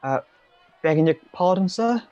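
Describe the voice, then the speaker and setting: An actor playing a meek, polite, good-hearted Victorian clerk in a radio play. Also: male voice, sits high, animated, normal speed, dark tone, no room sound, lo-fi sound, some chatter behind. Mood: pleading.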